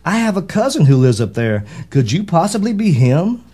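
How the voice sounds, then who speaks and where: A man's voice: a little high, big pitch swings, moderate pace, dark tone, slightly rough, pitched above the performer's own voice. A man voicing a friendly, earnest young male duck in a children's storybook, speaking in simple singsong rhyme. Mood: curious. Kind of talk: rhyming children's storybook character.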